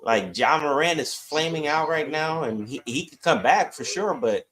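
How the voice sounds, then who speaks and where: A man co-hosting a casual sports discussion in a measured, slightly hesitant way. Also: fairly high pitch, lively intonation, a bit bright.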